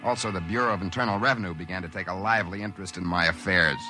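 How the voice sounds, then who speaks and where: An actor playing a brash, greedy, excitable man in a 1950s radio drama, speaking colloquially in an exclamatory, indignant way. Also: deep voice, rapid-fire, natural voice. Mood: smug narrating. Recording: old 1950s radio recording with music.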